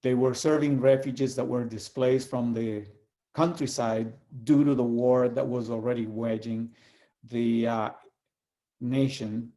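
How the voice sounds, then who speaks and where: A man from El Salvador, giving an earnest, measured reflection and reading aloud with pauses. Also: medium pitch, fairly even intonation, mellow. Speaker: community organizer sharing a reflection.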